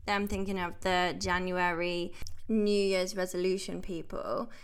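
A woman's voice: on the low side, little pitch movement, measured pace, clean tone. A woman in her thirties, a health coach hosting her podcast in a chatty, upbeat way. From United Kingdom. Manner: chatty and upbeat, laughs easily. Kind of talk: casual podcast monologue.

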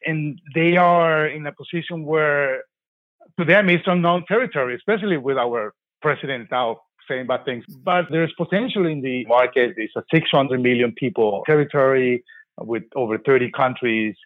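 A man's voice: medium pitch, moderate pace, no echo. A man from Colombia hosting an interview, explaining his company's work in a conversational way. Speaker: podcast host. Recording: tinny dry phone-line audio.

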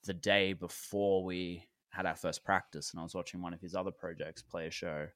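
Twenties male musician, low voice, loose and rambling, dry close sound. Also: fairly quick, no room sound. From Australia. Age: 20s. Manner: relaxed, rambling with many fillers. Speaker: musician guest. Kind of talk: casual interview chat.

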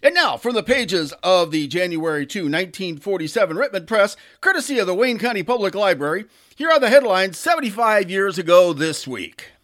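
A man hosting a local podcast, reading scripted notes aloud in a plain, deliberate way. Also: sits high, very animated, fairly bright tone. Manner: plain, deliberate read-aloud delivery with little ad-libbing. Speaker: local community podcast host.

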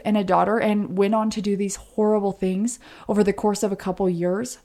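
A woman's voice: mid-range voice, vocal fry, darkish tone. A woman co-hosting a true crime podcast, telling a case in an earnest, explanatory, conversational way.

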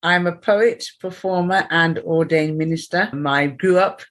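A woman who grew up in England, a poet, performer and minister, introducing herself in a composed, warm way. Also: on the low side, unhurried.

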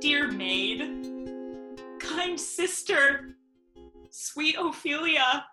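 A woman's voice: high-pitched, expressive, very slow, roomy sound, music in the background, full character voice. A woman playing a hot-tempered, grieving young nobleman in a Shakespearean audio drama, declaiming vengefully. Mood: anguished.

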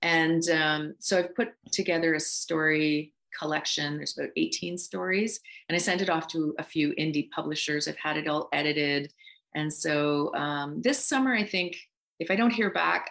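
A woman's voice: low voice, normal speed, vocal fry, a bit bright. A middle-aged woman from Canada, talking casually and reflectively as an interview guest. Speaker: guest voice actor and writer.

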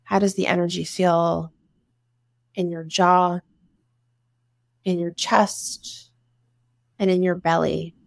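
Female meditation guide, very slow delivery, some vocal fry, soothing and instructive, close dry sound. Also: fairly low pitch, flat delivery, no echo. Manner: soothing, instructive, with long pauses between short phrases. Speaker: meditation guide, breathwork teacher.

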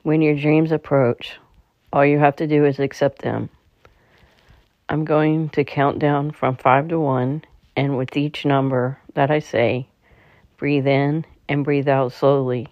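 A meditation guide speaking in a calm, slightly halting way, leading a slow guided relaxation. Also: medium pitch, no echo. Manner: calm, halting delivery with stumbles. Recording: dull, muffled lo-fi recording.

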